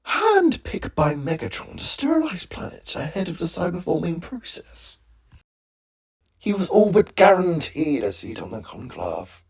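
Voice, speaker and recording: A man's voice: a little high, wildly swooping pitch, unhurried, vocal fry, heavily acted. A man voicing an eloquent, sadistic robot leader of a hit squad in a comic dub, with theatrical, menacingly polite monologues. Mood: grandly sardonic. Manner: theatrical, menacingly polite, soliloquizing, dropping to whispers.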